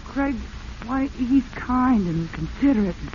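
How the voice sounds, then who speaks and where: A woman's voice: a little high, lively intonation, measured pace, a little mellow. A woman playing a patient, devoted young wife, coaxing and earnest in 1940s radio acting style. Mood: pleading, earnest. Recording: muffled, noisy vintage radio recording.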